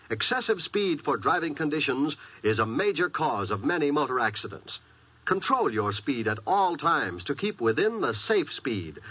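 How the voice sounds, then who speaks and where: A male American announcer in the 1950s radio manner, formal and earnest, with a lively swing in pitch. His voice is forceful and husky, on a dry, dulled vintage recording. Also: no room sound, muffled recording.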